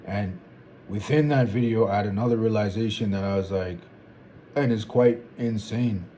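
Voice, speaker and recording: A man in his twenties thinking out loud in a casual, rambling vlog, restarting sentences and dropping "like" and "bro". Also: on the low side, normal speed, slight background noise. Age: 20s. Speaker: vlogger. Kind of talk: casual reflective monologue.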